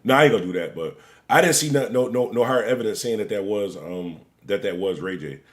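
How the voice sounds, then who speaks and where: Animated man in his forties, rambling. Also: lowish voice, brisk. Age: 40s. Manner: rambling, joking, repeats himself; "bro" and "gang" at line ends. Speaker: stream host. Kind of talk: casual live-stream commentary.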